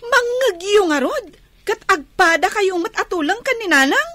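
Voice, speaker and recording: An actress playing a defiant, sulky young adult daughter in a radio drama, with a whiny, insolent way of talking. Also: female voice, very high-pitched, lively intonation, moderate pace, pushed and bright, no echo, exaggerated voice. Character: defiant, sulky young daughter who shirks chores and parties.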